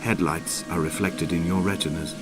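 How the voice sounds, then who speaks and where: Lone grieving castaway, male, played by an actor: low, solemn, poetic game narration over loud music. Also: steady tone, close to an everyday voice. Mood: haunted, mournful. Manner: brooding, measured, solemn, poetic delivery. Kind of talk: literary dramatic monologue, scripted game narration. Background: prominent music.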